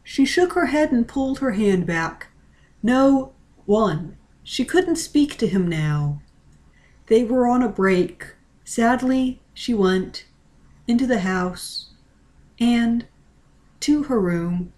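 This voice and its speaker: A woman reading a story aloud as dramatic, deliberate narration. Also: a little high, lively intonation, a little mellow. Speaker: reader of a fan-fiction story.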